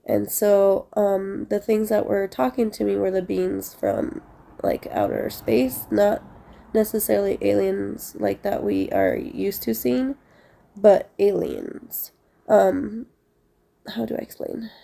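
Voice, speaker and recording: A woman's voice: medium pitch, takes it slowly, gravelly, dark tone. A young woman with a stuffy, congested voice, casually telling a rambling story to her viewers with many fillers. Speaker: vlogger.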